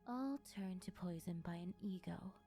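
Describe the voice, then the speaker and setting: A woman playing a possessive, taunting female sleep paralysis demon in an ASMR roleplay, breathy and often whispering close to the mic, with a teasing, menacing intimacy. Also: on the low side, normal speed, warm tone, faint music behind, lightly acted. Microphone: close ASMR microphone.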